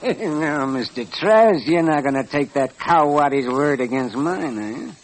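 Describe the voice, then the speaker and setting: An actor playing a slippery, crooked card gambler in an old Western radio drama. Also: male voice, fairly high pitch, expressive, unhurried. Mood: oily, evasive. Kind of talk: 1940s Western radio acting. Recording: noisy old radio transcription.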